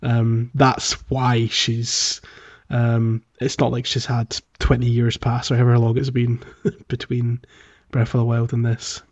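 A man co-hosting a podcast, chatting casually about a video game in a hesitant, halting way. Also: mid-range voice, monotone, a little mellow, lo-fi sound. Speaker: podcast co-host.

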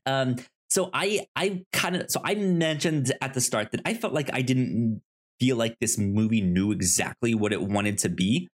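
A man co-hosting a movie podcast, talking casually and haltingly, often repeating words as he thinks aloud. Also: medium pitch. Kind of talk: casual film discussion.

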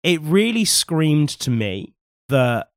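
Slightly husky man with a cold. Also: a little high, sing-song, slow pace, no room sound, studio quality. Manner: opinionated, teasing. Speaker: podcast co-host. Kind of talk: casual chat.

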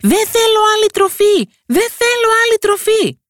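Woman doing a shrill tiny cheeky boy. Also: sing-song, dry recording, studio quality. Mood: shouting. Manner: high shrill shouting.

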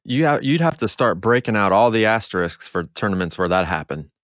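Man, very low voice, dry sound, podcast host, casual sports discussion. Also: lively intonation, fairly quick, fairly bright tone, dry recording.

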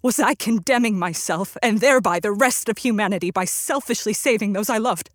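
Woman playing a serious young scientist guy, radio play, mid-range voice, measured literary way of talking. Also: mid-range voice, no echo, studio quality, lightly acted. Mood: doubtful, reflective. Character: earnest, troubled young male scientist. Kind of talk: radio play acting and first-person narration.

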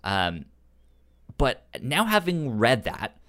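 Stuttering man with lively, swinging pitch. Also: takes it slowly. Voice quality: stutters, repeating sounds and syllables. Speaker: podcast host. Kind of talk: casual comic book discussion.

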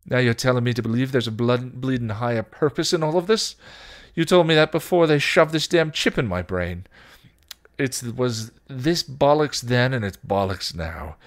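An anguished, insolent vampire from a storybook, as a male narrator puts him on. The voice jumps well above a low register, loud and frantic, full of self-loathing, pleading and spitting slang. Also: animated, moderate pace, close to an everyday voice. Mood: bitter, raging.

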